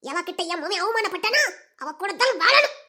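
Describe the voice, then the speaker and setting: A woman acting a furious middle-aged mother, shrill and threatening, in a melodrama. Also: animated, brisk, bright, forceful tone, heavily acted. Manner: scolding, threatening, vicious.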